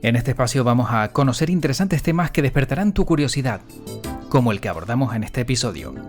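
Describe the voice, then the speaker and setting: A man's voice: mid-range voice, lively intonation, fast. Male presenter, podcast intro and interview delivery, music behind. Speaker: podcast host. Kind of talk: scripted intro narration and interviewing.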